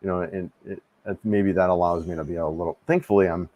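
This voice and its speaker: A man's voice: low voice, steady tone, fast talker, no room sound, lo-fi sound. A man in his fifties hosting a casual live stream, rambling and restarting sentences in a laid-back, friendly way as he answers chat. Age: 50s. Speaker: livestream host answering chat. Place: home room.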